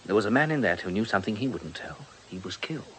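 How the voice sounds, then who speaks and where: Courteous, lively, persuasive gentleman. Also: on the low side, fast talker, crowd noise, rough recording. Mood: gently persuasive. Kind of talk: radio drama acting.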